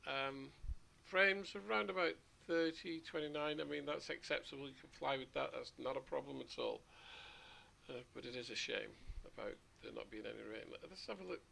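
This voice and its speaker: Male streamer, slightly hoarse, gravelly and sharp-toned, rambling chat with faint hum behind. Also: medium pitch, normal speed. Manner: chatty, rambling asides. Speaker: flight sim livestreamer. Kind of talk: casual livestream commentary.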